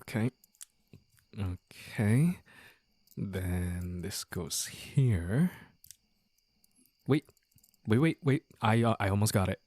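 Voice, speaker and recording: A man playing a playful, teasing young man in an intimate romantic audio roleplay, with halting banter and mock-dramatic asides. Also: lowish voice, lively intonation, a little mellow, no echo. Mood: flustered, focused. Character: playful, teasing young man sneaking out with his childhood sweetheart.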